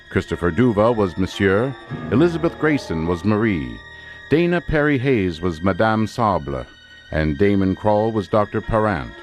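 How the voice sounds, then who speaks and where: Deep-voiced male radio drama announcer. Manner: measured, dramatic. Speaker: announcer and actor. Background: music.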